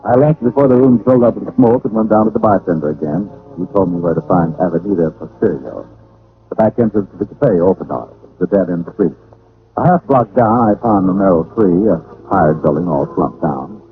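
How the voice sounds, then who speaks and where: An actor playing a wry, deadpan hard-boiled private detective in a 1940s-style radio drama. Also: male voice, low-pitched, a little fast, soft background music. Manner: deadpan, wisecracking. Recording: noisy, tinny old radio recording.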